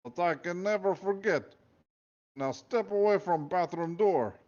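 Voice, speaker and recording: A man's voice: sits high, a little slow, darkish tone, highs cut off, voice pushed up. A man voicing a pompous male Russian prison guard with a heavy put-on Russian accent and broken English. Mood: indignant. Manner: broken English, boastful, theatrical.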